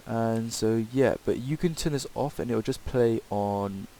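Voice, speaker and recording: Guy doing a casual screen tutorial, really mellow voice, bit rambly, faint hiss on the mic. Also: medium pitch, measured pace, vocal fry. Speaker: tutorial presenter. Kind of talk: casual screen-recorded software tutorial, improvised. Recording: hissy home microphone recording.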